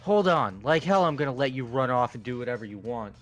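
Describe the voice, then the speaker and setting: A man's voice: mid-range voice, lively intonation, quick pace, soft background music, natural voice. A man voicing a brawling hot-headed delinquent. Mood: aggressive. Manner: aggressive, swearing. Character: hot-headed young male delinquent, quick to fight.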